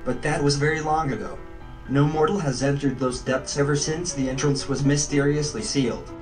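A man's voice: medium pitch, steady tone, moderate pace, natural voice. Man acting a pompous bishop, distant over music. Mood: solemn. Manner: pompous, solemn, archaic phrasing. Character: haughty, stern temple bishop guarding a holy place. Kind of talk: video game fandub acting. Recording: distant mic under game music.

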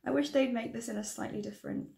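A woman in her thirties with a croaky, tiring voice, chatting and correcting herself as she presents a casual tutorial to camera. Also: fairly high pitch, steady tone, quick pace, dark tone, echoey room. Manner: chatty, rambling, self-correcting. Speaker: makeup tutorial vlogger. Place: small room indoors.

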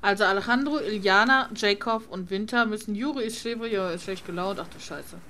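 A woman's voice: medium pitch, brisk, sharp tone, slight background noise. A woman doing casual let's play commentary, reading game text aloud and muttering to herself. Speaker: gaming let's player. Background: video game music.

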